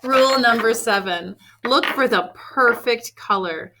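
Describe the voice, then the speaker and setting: A woman reading a picture book aloud to children expressively, with deliberate emphasis on key phrases. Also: fairly high pitch, pushed and bright. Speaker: read-aloud storyteller for children. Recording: faint background noise.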